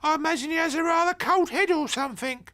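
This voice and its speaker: A shrill gossipy old granny. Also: male voice, fast. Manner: high, loud and scolding. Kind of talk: audiobook character voice.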